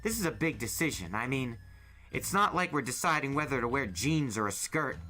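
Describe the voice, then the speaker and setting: Male reader as gentle teen girl, audiobook. Earnest, reasonable, high and animated. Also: brisk, soft background music. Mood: reasoning.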